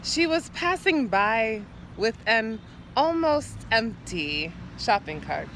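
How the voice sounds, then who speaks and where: A woman poet performing an improvised spoken-word monologue outdoors, theatrically, in short halting phrases with repeated words. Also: a little high, big pitch swings, a bit bright, a little hiss or hum. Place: outdoors, standing or walking.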